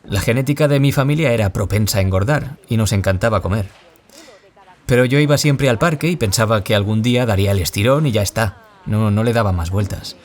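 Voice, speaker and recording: Actor as a low-voiced, wounded young man. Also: natural voice. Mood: reflective. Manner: confessional, reflective, measured. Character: gentle, wounded young man, former bullying victim turned author. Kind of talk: audio drama acting as a radio interview guest.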